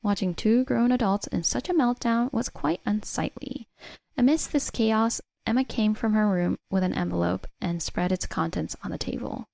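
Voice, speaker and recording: Female narrator for first-person drama stories: measured read, mid pitch, creaky edge, very dry sound. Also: dark tone, no room sound. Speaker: story narrator.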